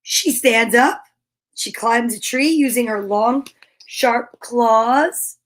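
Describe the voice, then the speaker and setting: Woman, high voice, slow delivery, children's read-aloud host, exclamatory, playful, encouraging. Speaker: children's story time host. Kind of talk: live read-aloud with playful asides to viewers. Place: at home.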